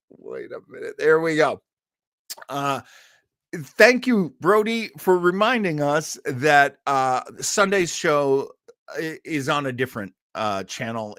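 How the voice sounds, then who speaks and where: Male livestream host, medium pitch, loose jokey chat and show announcements, dry close recording. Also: sharp tone, no echo. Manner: rambling, jokey. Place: small garage office at home.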